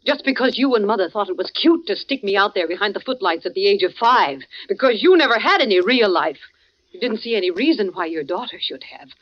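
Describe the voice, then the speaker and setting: A woman's voice: mid-range voice, animated, a little fast, close to an everyday voice. Woman playing a theatrical, bitter glamorous stage actress, old radio. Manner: theatrical, arch, drawling endearments. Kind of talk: 1940s radio drama acting. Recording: muffled old radio broadcast recording.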